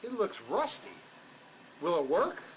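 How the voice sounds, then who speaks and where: A man's voice: high voice, big pitch swings, a little slow, rough recording. An actor playing an anxious, protective male ex-con in a drama, earnest, fussing and full of questions. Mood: suspicious. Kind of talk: dramatic script performance. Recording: faint background noise.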